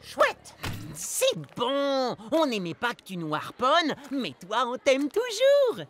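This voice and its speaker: Excitable, high-pitched cartoon youngster. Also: female voice, slow, a little hiss or hum, full character voice. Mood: eager. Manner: exclamatory, emphatic. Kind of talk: French children's cartoon dubbing. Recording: loud cartoon music behind the voice.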